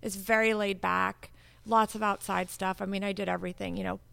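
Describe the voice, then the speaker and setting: Creaky-voiced woman from Hawaii. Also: medium pitch, a little fast. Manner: laid-back, relaxed, frequent likes and fillers. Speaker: guest. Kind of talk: casual interview.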